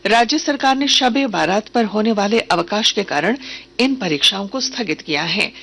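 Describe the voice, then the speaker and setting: A woman news reader delivering a scripted radio bulletin in a formal, measured announcing manner. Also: mid-range voice, a bit bright, slight background noise.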